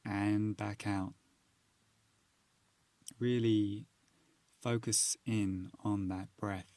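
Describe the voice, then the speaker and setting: A man narrating a guided meditation, giving soothing, measured instructions with long pauses. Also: on the low side, a little mellow, dry recording.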